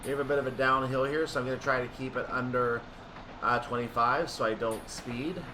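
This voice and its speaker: A man's voice: mid-range voice, steady tone, fairly quick, background noise. A man giving explanatory, methodical gameplay commentary, thinking aloud as he plays a train simulator. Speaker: video game streamer playing a train simulator.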